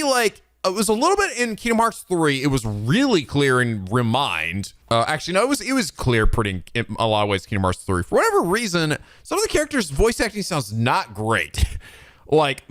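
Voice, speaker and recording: Sweary guy with wildly swinging pitch. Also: huge pitch swings, normal speed, fairly bright tone, dry recording, studio quality. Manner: blunt, sweary, joking. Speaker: let's-play host. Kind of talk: casual profane reaction commentary.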